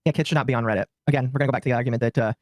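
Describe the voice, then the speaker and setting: A man's voice: medium pitch, darkish tone, no room sound, clean studio sound. A man in a commentary discussion, talking bluntly and matter-of-factly. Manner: blunt, quick, matter-of-fact. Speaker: commentator in played clip.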